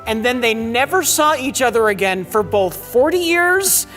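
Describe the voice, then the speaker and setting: A hoarse man giving a rambling, incredulous comedic recap of a plot as a panelist. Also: very high voice, lively intonation, moderate pace, fairly bright tone, soft background music.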